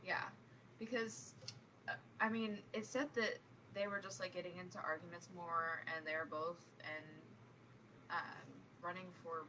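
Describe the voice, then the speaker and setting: Woman in a casual book club chat, slightly low and bright, hesitant phrasing, distant from the mic. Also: steady tone, unhurried. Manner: hesitant, filler-heavy phrasing with frequent "like". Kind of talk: casual group book discussion. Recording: distant mic, faint background noise.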